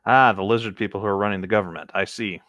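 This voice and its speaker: A man's voice: on the low side, fairly even intonation, fast talker, fairly bright tone, dry recording, clean studio sound. A man bantering casually with friends while reading a story aloud. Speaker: co-reader on a group creepypasta reading. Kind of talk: casual banter and read-along.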